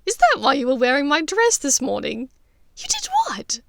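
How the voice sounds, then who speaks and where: Upper-class witch mother, audiobook, woman reader acting: high, haughty, crisp, amused, exclamatory. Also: wildly swooping pitch, normal speed, fairly bright tone, no room sound, clean studio sound, exaggerated voice. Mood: astonished, sharp.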